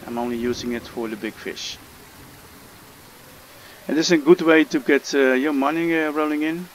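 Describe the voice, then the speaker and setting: A man's voice: fairly high pitch, normal speed, a little hiss or hum. A man from the Netherlands with a Dutch accent, talking through a fishing game tutorial with many hesitations and fillers. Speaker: gamer making a tutorial. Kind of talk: casual gameplay tutorial commentary.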